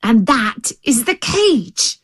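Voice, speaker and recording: A woman's voice: medium pitch, expressive, takes it slowly, no room sound, clean studio sound. A woman voicing a savage, short-tempered male tiger in a storybook, growling and exasperated, with emphatic words. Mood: exasperated rage.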